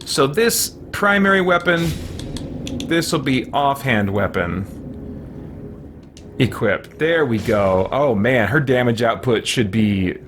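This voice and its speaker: Animated man chatting through a noisy game session. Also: medium pitch, slow pace. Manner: thinks aloud, chatty. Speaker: let's play host. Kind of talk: casual gameplay commentary with muttered self-talk. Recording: noisy, game sound and music behind voice. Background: video game sound and music.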